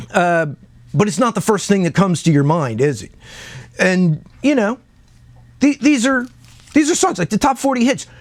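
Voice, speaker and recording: A man with a fairly high-set voice talks like a video host sharing his views on music. His delivery is informal and conversational, with an emphatic, opinionated streak. The sound is very dry and close, with hardly any room in it. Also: no echo. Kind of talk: casual opinion talk to camera.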